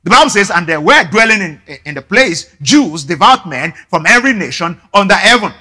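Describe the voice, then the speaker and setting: A man in his forties, a Yoruba speaker, preaching a sermon on a church stage with animated gestures and frequent restarts. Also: high-pitched, brisk. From Nigeria. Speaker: pastor. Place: large church stage indoors.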